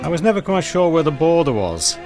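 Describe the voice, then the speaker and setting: A man's voice: a little high, sing-song, brisk. An older man, presenting a radio show as DJ and chatting in a rambling, reminiscing way. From northwest England. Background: music under the talk.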